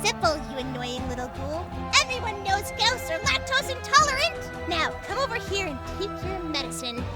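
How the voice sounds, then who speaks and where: Woman playing a very high-pitched, sassy girl hero. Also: sing-song, moderate pace, few pauses, over music. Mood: mocking. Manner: taunting, cheeky, bold. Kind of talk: audio drama acting.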